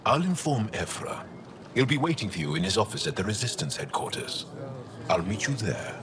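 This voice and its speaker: An actor playing a gruff, suspicious alien resistance fighter in a video game, with a brusque, distrustful manner. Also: male voice, fairly low pitch, big pitch swings, normal speed. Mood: scornful, curt. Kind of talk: video game dialogue acting. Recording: game dialogue over noisy ambience.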